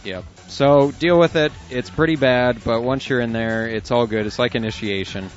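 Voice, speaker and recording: A man's voice: mid-range voice, moderate pace. A man co-hosting an internet radio show, reading listener emails aloud with chuckles and asides in casual chat. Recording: dull lo-fi audio with faint music.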